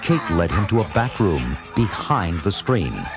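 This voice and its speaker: A man's voice: deep voice, very animated, measured pace. A man narrating a children's read-along adventure in a dramatic, theatrical scripted style. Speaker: story narrator. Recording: loud music and effects behind narration.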